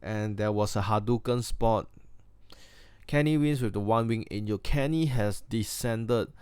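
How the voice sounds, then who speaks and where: A man's voice: on the low side, unhurried, darkish tone, clean tone, no room sound. A man with a Singaporean accent giving a casual, blunt and crude spoken wrestling review, full of fillers and restarts.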